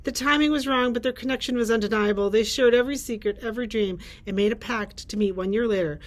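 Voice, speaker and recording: A middle-aged woman with a slightly high-pitched voice recommends novels. She reads their summaries aloud in a librarian's presenting style, recorded on a shared mic in a library room. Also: quick pace. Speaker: librarian presenting book picks. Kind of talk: reading book blurbs aloud with brief chat. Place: indoors, library room with bookshelves.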